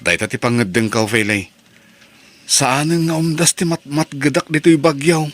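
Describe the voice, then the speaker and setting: A man's voice: fairly high pitch, normal speed, natural voice. A man playing an earnest, struggling working husband in an Ilocano radio drama. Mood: earnest, worried. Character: struggling working husband resolved to move home.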